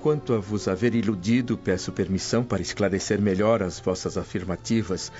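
An actor playing a serene, dignified freed slave and father in a radio drama, with measured, formal speech. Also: on the low side, natural voice. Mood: calm, dignified. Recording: dull lo-fi radio drama, music underneath. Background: soft music.

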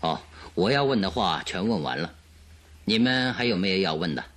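Radio drama detective: low voice, lively intonation, shrewd, probing, authoritative. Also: male voice, moderate pace. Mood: calm assurance. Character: shrewd, composed male detective questioning a witness.

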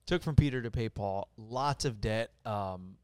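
A man's voice: on the low side, measured pace, smooth, no room sound. A middle-aged man from Puerto Rico, a mortgage lender, talking bluntly and with energy as a podcast guest. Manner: blunt, profane, energetic storytelling. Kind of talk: casual podcast interview. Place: office studio.

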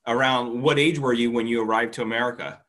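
A man's voice: mid-range voice, steady tone, fast talker, fairly bright tone. A man working as a podcast host, speaking in a conversational interview-show style.

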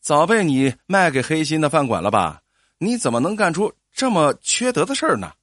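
A man playing a stern village insurance adjuster, brisk, officious and quick to scold, in an audio story. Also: on the high side, big pitch swings, a bit bright, no echo, studio quality. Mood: indignant.

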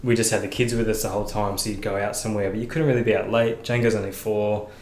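A man's voice: lowish voice, fairly even intonation, fast talker, a little mellow. Easygoing Australian man, echoey room. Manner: friendly, hesitant, lots of ums. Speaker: podcast guest. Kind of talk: casual podcast chat. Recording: roomy echo with faint noise.

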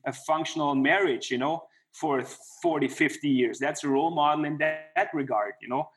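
A man's voice: fairly high pitch, moderate pace, fairly bright tone, soft background music, low-quality recording. Hesitant man talking over a call. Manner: hesitant, many restarts and "you know". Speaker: interview guest, former professional ice hockey player. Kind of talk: casual conversational interview. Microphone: remote call.

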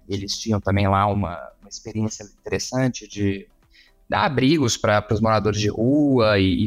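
A man from Minas Gerais with a Brazilian accent, explaining things casually as an interview guest, often searching for words. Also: lowish voice.

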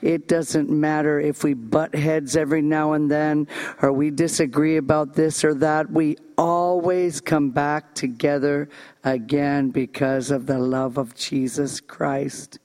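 A man's voice: on the high side, little pitch movement. A man giving an earnest testimony and joking asides at a church service. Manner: earnest, self-deprecating, quick asides. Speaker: church service speaker and singer. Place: church service with live music. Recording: loud instrumental music behind.

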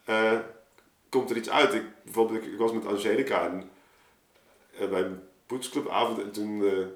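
A man's voice: on the low side, steady tone, moderate pace. A man chatting casually and explaining his creative process, often digressing and interrupting himself mid-thought. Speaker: artist, story maker on a podcast. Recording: roomy, echoing room sound.

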